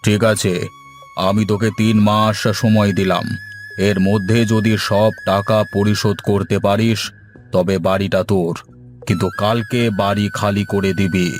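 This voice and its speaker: A man's voice: on the low side, flat delivery, normal speed, voice pushed down, lightly acted. A man voicing a stern, domineering landlord in a cartoon fairy tale, speaking in a commanding, condescending way, with music underneath. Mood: grudging, warning. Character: stern, domineering male landlord zamindar demanding repayment.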